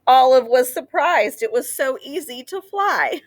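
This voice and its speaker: A woman reads a children's picture book aloud as a storyteller, with animated delivery and drawn-out emphasis on key words. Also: sits high.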